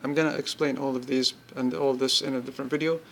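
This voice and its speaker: A man giving an informal, unscripted product demonstration, speaking haltingly in short pieced-together bursts. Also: medium pitch, level tone, gravelly. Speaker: app demonstrator.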